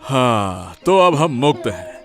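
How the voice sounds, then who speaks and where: A man voicing a repentant middle-aged village headman in a cartoon, speaking in a deliberate, formal way. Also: mid-range voice, very animated, a bit bright, faint music behind. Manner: deliberate, formal and addressing a crowd. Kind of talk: Hindi cartoon dubbing.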